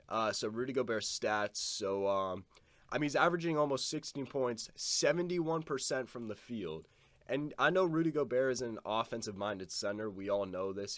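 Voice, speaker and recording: A man's voice: fairly low pitch, a bit bright, no echo. A young man with a stuffed-up nose giving a casual, blunt basketball opinion monologue, swearing now and then. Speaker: basketball commentator.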